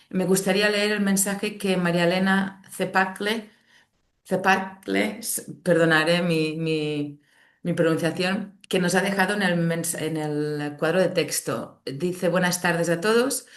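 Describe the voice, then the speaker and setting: A woman co-host who reads a listener's message aloud and sounds enthusiastic while closing the program. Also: fairly low pitch. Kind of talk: reading out a message and wrapping up the program.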